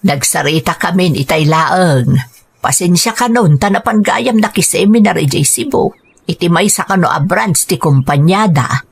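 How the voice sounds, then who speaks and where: A woman's voice: fairly low pitch, animated, moderate pace, creaky, slightly rough, exaggerated voice. An actress playing a caring, persuasive middle-aged family woman in a radio drama, with a Filipino accent. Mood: reassuring. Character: caring, persuasive middle-aged mother and elder sister, practical. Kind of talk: Ilocano radio drama acting.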